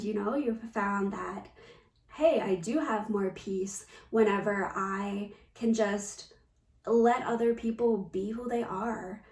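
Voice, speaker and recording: Slow-talking woman in an echoey room. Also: medium pitch, a little mellow. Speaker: spiritual coach. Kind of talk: reflective spiritual talk to camera. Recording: roomy echo, distant from the mic.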